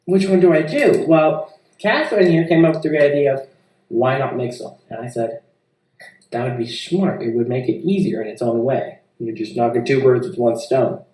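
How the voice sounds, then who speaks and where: A young American man giving informal, somewhat halting answers as a student being interviewed. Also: mid-range voice, animated, warm tone. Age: young adult. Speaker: interviewee, student filmmaker and musician. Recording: echoey room, distant mic.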